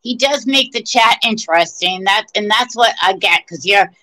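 A woman's voice: medium pitch, normal speed, bright, forceful tone. A middle-aged woman chatting bluntly on a phone video call from her living room, swearing freely and restarting her sentences. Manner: blunt, profane, rambling with restarts. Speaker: guest caller. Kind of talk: casual video-call chat. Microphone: phone held close, video call.

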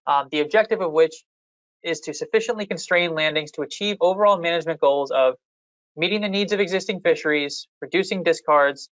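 A methodical presenter at a public hearing, explaining policy options slide by slide in an even, measured way. The voice sits at a middling pitch. The sound is dry and a little muffled, with no room around it. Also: no echo. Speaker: fishery management staff presenter.

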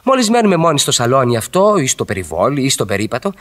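A man's voice: mid-range voice, huge pitch swings, a bit bright. An actor playing a witty, ironic married man who flirts by argument in a Greek radio play, with articulate, theatrical delivery. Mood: earnest, coaxing.

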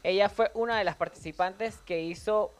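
A man's voice: sits high, normal speed, clean tone. A man who works as a makeup artist, co-hosting a casual chat and reading out facts plainly in a small studio with a handheld mic. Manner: matter-of-fact, informative.

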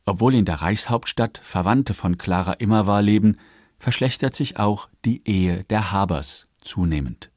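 Low-voiced male documentary narrator, dry studio. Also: darkish tone, no echo. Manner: factual, measured.

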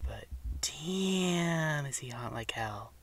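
A man's voice: fairly high pitch, expressive, takes it slowly, a bit bright. A man giving prepared fan commentary as a guest, recorded on a slightly distant microphone.

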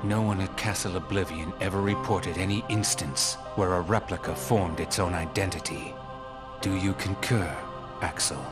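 A man's voice: low voice, normal speed, over loud music, close to an everyday voice. A man playing a stern, icy second-in-command in a video game, with a cold, formal, contemptuous manner. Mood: icy correction. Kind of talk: dramatic video game voice acting.

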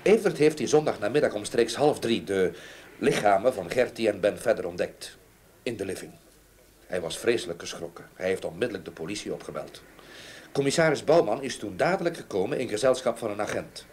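An actor playing a sober, earnest gentleman witness in a radio play, speaking in a measured, factual way. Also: fairly low pitch, lively intonation, natural voice. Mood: uneasy, insistent. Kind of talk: Dutch radio play acting. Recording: slightly noisy old radio drama recording.

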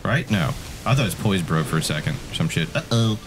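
A man bantering casually as he comments on a friend's video game, joking and swearing freely in an excitable way. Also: low-pitched, brisk. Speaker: co-host commenting on a friend's gameplay. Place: indoor room, webcam setup beside another player. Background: game music.

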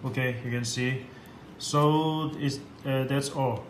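A man giving an unscripted tutorial in halting, repetitive, simple English. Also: mid-range voice, fairly even intonation. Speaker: product demonstrator. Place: indoor room at a computer desk. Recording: echoey room with background noise.

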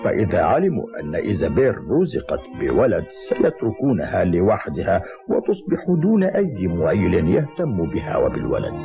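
Old mountain grandfather, very low voice, lively intonation, grave storyteller, cartoon dub, music behind. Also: fairly quick, gravelly, heavily acted. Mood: solemn. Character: solemn old mountain grandfather, protective and gentle. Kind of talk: dubbed cartoon dialogue, storytelling in formal Arabic. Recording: dull lo-fi cartoon audio under music.

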